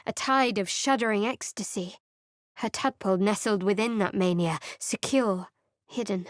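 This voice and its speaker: A woman's voice: medium pitch, no echo, natural voice. A woman voicing a guarded, sardonic young woman cleric in a studio game, speaking in a dry, curt, secretive way. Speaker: game character.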